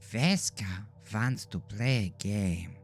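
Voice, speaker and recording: A man voicing an eerie small girl with a vacant, hungry menace, speaking slowly and softly of herself in the third person. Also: lowish voice, gravelly, soft background music, pitched above the performer's own voice. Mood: softly menacing.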